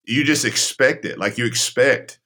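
A man's voice: medium pitch, moderate pace, pushed and bright. A middle-aged man from southwestern Virginia, speaking candidly and reflectively as an interview guest. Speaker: interview guest, camp director. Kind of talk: conversational interview.

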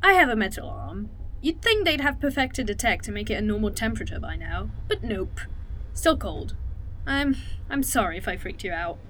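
A woman's voice: medium pitch, animated, moderate pace, fairly bright tone, a little hiss or hum, close to an everyday voice, poor audio. A woman playing a cocky, teasing young woman in an audio drama, with a breezy, dry way of talking. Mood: breezy, wry.

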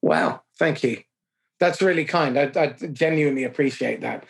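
A middle-aged man hosting a podcast, summing up points in a measured, self-deprecating way. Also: mid-range voice, gravelly, breathy edge. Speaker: podcast host. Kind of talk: conversational podcast interview.

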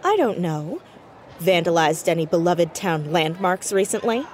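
A woman playing a sharp, nosy fourteen-year-old girl detective in a naturalistic audio drama, quick and probing. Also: fairly low pitch, slight background noise, natural voice. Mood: pointed, accusing.

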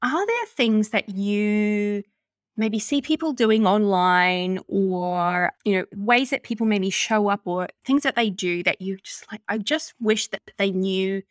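A woman with a New Zealand accent hosting a podcast, chatting and asking questions in a relaxed, agreeable way with many fillers. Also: medium pitch, unhurried, no echo, clean studio sound. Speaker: podcast host. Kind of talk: casual interview chat.

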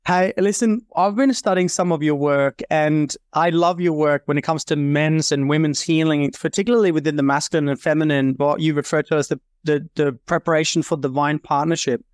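A man's voice: fairly high pitch, steady tone, a little fast, few pauses, clear, resonant tone, dry recording, clean studio sound. A man hosting an interview, enthusiastic and affirming toward his guest. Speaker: podcast host. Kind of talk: interview host.

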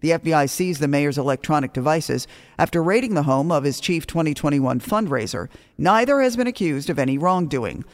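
A male American radio news anchor delivers scripted local headlines. His voice sits a little high for a man, and he reads in a newsroom style. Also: expressive, brisk.

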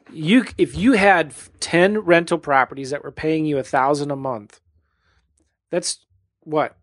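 A man's voice: a little high, a bit bright, dry recording, studio quality. A man hosting an interview, chatting casually and tossing in tag questions. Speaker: podcast host.

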